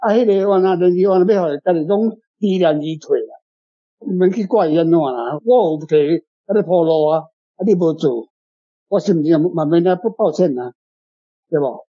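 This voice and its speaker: Higher-pitched Taiwanese man, very dark voice. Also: normal speed. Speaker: author answering readers' questions about a story. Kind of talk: casual spontaneous explanation. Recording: dull lo-fi sound with music underneath.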